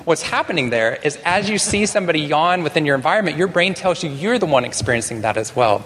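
A man from Texas giving a conference talk to a live audience, animated and self-deprecating, with some restarts. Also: fairly high pitch, fast talker, in a large hall, a little hiss or hum. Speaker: conference speaker. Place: large conference hall.

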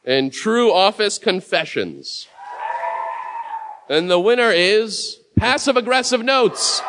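A man's voice: high-pitched, very animated, takes it slowly. A man hosting a live awards show from a mic in a big room, offhand, joking and swearing between nominees.

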